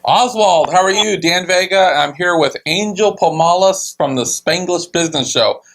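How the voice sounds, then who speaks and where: A man's voice: high voice, moderate pace, fairly bright tone, rough recording. Rambling, enthusiastic male TV host. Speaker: show host. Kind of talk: casual chat. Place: TV studio.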